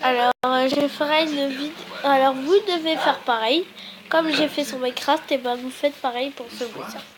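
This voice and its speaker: A woman's voice: high voice, fairly even intonation, normal speed, crowd noise. A woman with a cold and a sore throat giving a chatty, rambling how-to tutorial as a YouTuber, often correcting herself.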